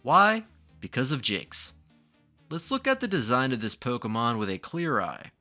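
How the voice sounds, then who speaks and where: A man's voice: medium pitch, big pitch swings, normal speed, bright, forceful tone, soft background music. A man reading scripted documentary narration with dramatic, ominous emphasis.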